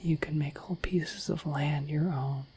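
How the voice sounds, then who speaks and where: A woman voicing a shy, affectionate, dorky dragon in a soft, breathy, halting ASMR whisper, close to the microphone. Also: low voice, steady tone, a little hiss or hum. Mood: wistful, admiring. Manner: halting, gentle, earnest. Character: shy, dorky, affectionate female dragon, naive about humans.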